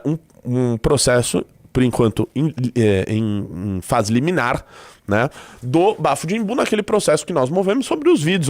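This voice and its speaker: A Brazilian man with a stuffy nose hosting a live show in loose, joking commentary, peppered with "tá?". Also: fairly high pitch. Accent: Brazilian Portuguese. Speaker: livestream host.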